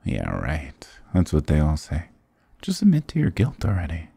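Very deep, gravelly flirty goth guy, acted by a man. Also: wildly swooping pitch, moderate pace, dark tone, dry recording, full character voice. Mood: playfully indignant. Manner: teasing, affectionate, mock-dramatic. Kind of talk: intimate ASMR roleplay acting.

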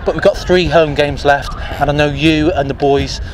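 A man's voice: a little high, fairly even intonation, normal speed, rough recording. A man with an English accent interviewing a football manager after a match, asking questions in a friendly tone. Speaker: club media interviewer. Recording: outdoor noise and passing traffic.

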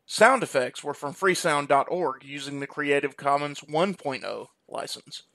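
Unhurried male credits announcer. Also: a little high, pushed and bright.

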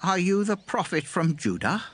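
An actor playing a crafty, insistent and solemn old prophet in a dramatised scripture reading. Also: male voice, high-pitched, big pitch swings, normal speed, fairly bright tone, breathy edge, full character voice. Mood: eager.

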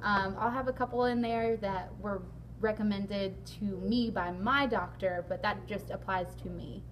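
A young woman with a hoarse, raspy voice from losing it, chatting casually as host of a sit-down interview vlog with lots of ums. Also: medium pitch, fairly even intonation, a little slow, slight background noise, rough recording. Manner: chatty, frequent ums, laughs along. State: hoarse, lost voice. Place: large indoor hall.

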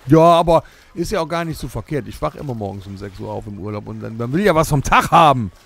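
A man's voice: medium pitch, animated, fast, gravelly. A man hosting a podcast, chatting pushily in a scripted comedy audio play.

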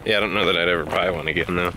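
A man's voice: sits low, steady tone, racing. A young man chatting casually and slangily in a laid-back, fragmented way on a camera mic outdoors on a trail. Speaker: hiker filming a vlog. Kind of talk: casual chat. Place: outdoors on a forest mountain trail. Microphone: handheld camera microphone. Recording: outdoor trail recording with handling noise.

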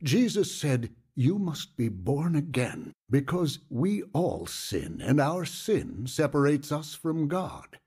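Patient counseling pastor: mellow, husky man, solemn radio drama teaching. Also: on the high side, big pitch swings, slow pace, hardly any pauses, heavily acted. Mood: earnest. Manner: earnest, gently instructive, quotes scripture solemnly. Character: patient, earnest male pastor counseling a young woman. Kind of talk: audio drama dialogue, preaching.

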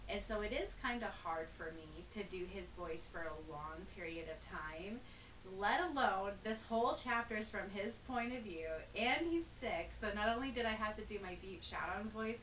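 A woman's voice: medium pitch, far from the mic. A woman co-hosting a podcast, chatting casually and exclaiming about narrating a chapter. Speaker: podcast co-host and audiobook narrator. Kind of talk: casual chat. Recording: roomy, off-mic, faint hiss.

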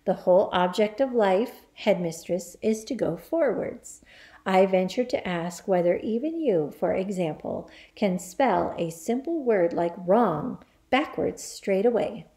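A soft-spoken woman teacher, as a storybook reader voices her. She is polite and measured, with quiet firmness. Her pitch sits in the middle. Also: expressive. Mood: quietly firm. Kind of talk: children's storybook character reading.

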